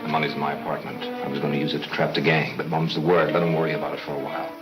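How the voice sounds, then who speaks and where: A man's voice: extremely low pitch, little pitch movement, racing, rough recording. A man acting a shrewd, resolute lawman in a 1940s crime movie serial, with a clipped, businesslike delivery. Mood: confidential. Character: resolute plainclothes lawman hunting gangsters, shrewd and brisk. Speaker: actor in a crime film serial. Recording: 1940s film soundtrack with music behind.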